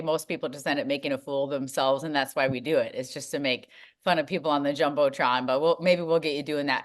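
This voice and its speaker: A woman's voice: sits low, fairly bright tone. A woman hosting a sports podcast, chatty and upbeat, with self-deprecating humor. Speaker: podcast host. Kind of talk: casual interview hosting.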